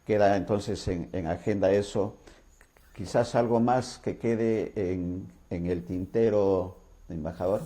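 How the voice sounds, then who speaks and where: A man's voice: deep voice, takes it slowly, warm tone. A man hosting an interview, courteous, with frequent fillers and restarts. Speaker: talk show host.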